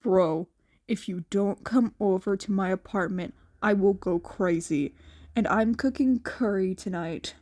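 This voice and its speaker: Woman voicing a lowered, grumbling, bossy young man. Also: measured pace, a little mellow, close to an everyday voice. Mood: coaxing. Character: gruff, exasperated young man, reluctant big brother.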